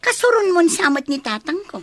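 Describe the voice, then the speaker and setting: A woman's voice: very high-pitched, very animated, fairly quick, fairly bright tone, soft background music, full character voice. A woman playing a bossy, haughty young woman in an Ilocano radio drama, threatening in manner.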